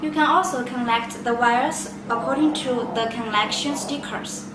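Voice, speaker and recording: Echoey, distant, higher-voiced woman presenting a product. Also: measured pace. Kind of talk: product demonstration, step-by-step explanation. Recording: echoey room, distant mic, loud noise.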